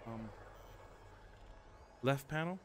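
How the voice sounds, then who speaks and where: A man with a mid-pitched voice chats casually on a game livestream. He thinks aloud haltingly and gives short spoken commands. There is clear noise in the background. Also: expressive.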